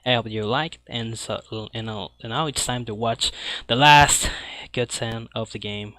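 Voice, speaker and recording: A man who speaks English as a second language, giving casual live speedrun commentary, halting as he searches for words. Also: medium pitch, pushed and bright, no echo. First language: not English. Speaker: speedrunner presenting a game at a streamed marathon.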